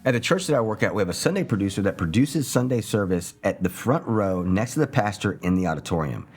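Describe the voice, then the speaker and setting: A man's voice: lowish voice, lively intonation, fast, darkish tone, slightly rough, faint music behind. A man hosting a video review with an emphatic presenter's delivery. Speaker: video host.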